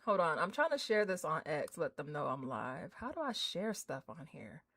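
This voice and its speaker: A woman livestreamer chatting casually with her viewers in an excitable, slangy, informal way. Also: low-pitched, normal speed. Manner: excitable, hyped, effusive; slangy, informal, drops swearing in. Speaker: livestreamer hosting a game stream.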